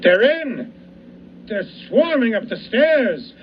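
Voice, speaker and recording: A man plays a lighthouse keeper whose talk turns panicky and gleefully cruel. The voice is high and lively, and he stretches his words slowly. A dull recording with faint hiss. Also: full character voice. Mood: tense. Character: Louis, frantic male lighthouse keeper. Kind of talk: amateur radio play acting.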